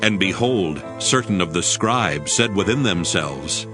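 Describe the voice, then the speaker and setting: A man's voice: sits low, lively intonation, unhurried. A man narrating dramatized scripture in a formal, solemn storytelling manner. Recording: music behind the voice.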